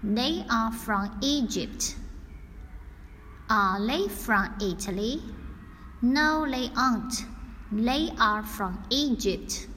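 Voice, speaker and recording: A woman reading out a scripted listening test in a deliberate, carefully enunciated dictation style. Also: fairly high pitch, animated, a bit bright. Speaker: English listening test reader. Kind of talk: scripted exam narration, slow and repeated. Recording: long hall reverb, faint background noise.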